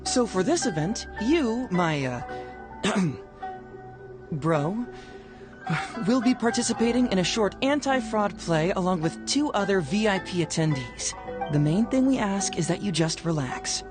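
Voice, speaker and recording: A man's voice: high-pitched, animated, a little slow. A man playing a polite, slightly awkward event host and play narrator in an anime video game, explaining things courteously. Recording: music playing behind the voice.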